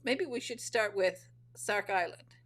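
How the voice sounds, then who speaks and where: An American woman from Michigan hosts the chat and gushes with enthusiasm. Her voice sits at an ordinary middle pitch, and she keeps the talk moving with eager questions. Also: unhurried, a bit bright. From Michigan, United States. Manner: enthusiastic, gushing. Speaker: podcast host. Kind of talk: casual interview chat.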